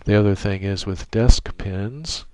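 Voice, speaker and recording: A man giving an unscripted software tutorial in a methodical, technical way with halting phrasing, close on a USB microphone. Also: on the low side, dry recording. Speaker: tutorial presenter.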